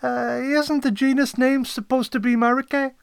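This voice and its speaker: A man voicing a detective's eager, complaining young male assistant, excitable and whiny, in an audio story. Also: moderate pace, creaky, clear, resonant tone, dry recording, studio quality. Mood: puzzled. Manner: high-pitched, excitable, deferential yet whiny.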